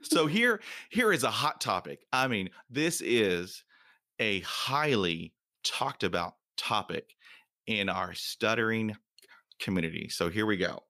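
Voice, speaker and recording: Slow, bright-voiced playful male host. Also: on the low side, animated. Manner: playful, laughs at own questions. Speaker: podcast host. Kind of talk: casual interview hosting.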